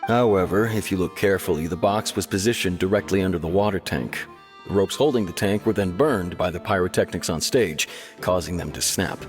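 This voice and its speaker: A man voicing a frank, sceptical investigating officer in a video game, measured and matter-of-fact. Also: deep voice, lightly acted. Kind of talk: video game dialogue, explanatory. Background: soft background music.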